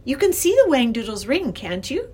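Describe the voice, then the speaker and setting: An earnest young girl from a children's storybook, acted by a woman, high in pitch and swooping with excitement. She speaks insistently, pleading like someone who badly needs to be believed. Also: moderate pace. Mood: firm, urgent.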